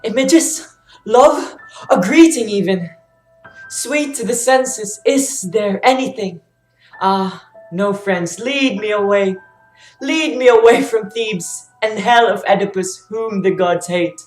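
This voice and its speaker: A woman's voice: mid-range voice, slow, reverberant, soft background music, close to an everyday voice. A woman playing an anguished, self-cursing blinded king in a dramatic reading of Greek tragedy. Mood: despairing.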